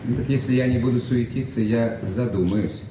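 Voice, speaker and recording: A polite, restless gentleman played by a man, uneasy beneath his courtesy, in radio theatre. The voice is mid-pitched and echoey, behind very loud noise. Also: moderate pace, close to an everyday voice. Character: courteous, restless male visitor, smooth but uneasy. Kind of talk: Soviet radio drama acting. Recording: echoey, distant, very noisy old radio recording.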